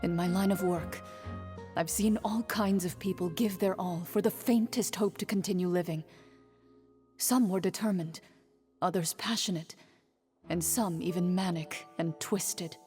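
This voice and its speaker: A woman's voice: fairly low pitch, fairly even intonation, unhurried, a little mellow, soft background music, lightly acted. Woman acting a grave, stoic champion duelist. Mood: solemn. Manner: composed, grave, formal. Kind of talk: dramatic game voice acting.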